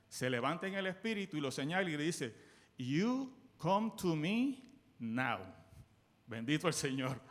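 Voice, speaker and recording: Lively Puerto Rican preacher, higher voice. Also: male voice. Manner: animated, call-and-response questions to the congregation; swings between loud bursts and soft quoted lines. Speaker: guest preacher. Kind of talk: sermon on church history. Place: church sanctuary.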